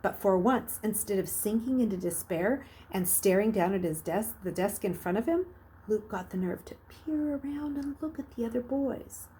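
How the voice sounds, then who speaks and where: A woman reading a children's novel aloud as a storyteller, narrating expressively, with emphatic swells and hushed phrases. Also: medium pitch, lively intonation, normal speed, poor audio.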